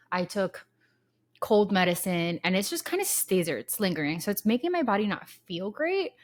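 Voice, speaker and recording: A woman's voice: mid-range voice, fairly bright tone. A woman in her thirties hosting her own podcast, talking casually and candidly with rambling asides, sounding congested from a lingering cold. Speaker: podcast host. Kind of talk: casual solo podcast talk.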